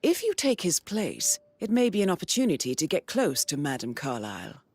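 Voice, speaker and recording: A woman playing a composed, calculating intelligence handler, briefing an assassin in a video game with a crisp, knowing manner. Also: fairly low pitch, wildly swooping pitch, a little fast, a little mellow, heavily acted. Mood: intrigued, poised.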